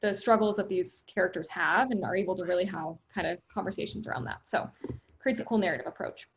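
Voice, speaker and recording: A woman with a loud voice, speaking as a company guest in an interview, upbeat, laughing often and leaning on "right?" and "you know.". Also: lowish voice, fast, slight background noise. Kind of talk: conversational interview.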